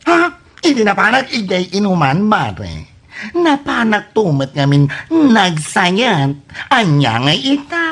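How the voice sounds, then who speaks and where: A woman playing a distressed, whiny friend in an Ilocano-accented radio comedy drama, lamenting in a drawn-out way. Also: mid-range voice, wildly swooping pitch, fairly bright tone, breathy edge, full character voice.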